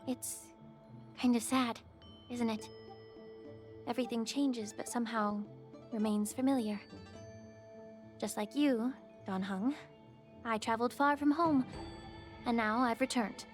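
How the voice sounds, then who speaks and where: A woman playing a poised, soft-spoken healer from an ancient dragon people in a video game, speaking in a composed, courteous and measured way. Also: a little high, close to an everyday voice. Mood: wistful. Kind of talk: scripted video game dialogue. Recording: game music behind the voice.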